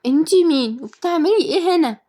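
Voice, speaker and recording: A woman's voice: very high voice, lively intonation, fast talker, pitched above the performer's own voice. A woman voicing a stubborn, bratty eight-year-old girl with a childish, whiny delivery in an audio story. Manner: shouting protests, childish.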